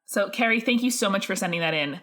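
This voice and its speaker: A woman's voice: mid-range voice, lively intonation, quick pace, a bit bright. A woman co-hosting a casual chat, musing aloud in a tentative way and hedging her phrases. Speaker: podcast host.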